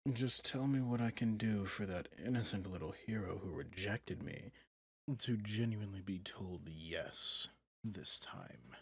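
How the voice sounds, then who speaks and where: A possessive villain prince played by a man murmurs to his bride in a low, very breathy and husky voice. He is smug and coaxing, tender with menace underneath. It is an intimate ASMR roleplay, recorded close and dry. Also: no room sound, full character voice. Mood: longing, coaxing. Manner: slow, seductive, menacingly tender.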